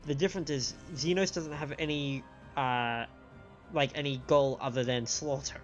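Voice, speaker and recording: A man's voice: medium pitch, fairly even intonation, normal speed, muffled recording. A man streaming a game with casual, chatty, explanatory commentary. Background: game music.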